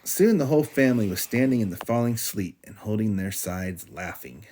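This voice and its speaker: A man reading a storybook aloud to a child as a father does at bedtime, in an animated storytelling style. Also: on the low side, moderate pace, slight background noise.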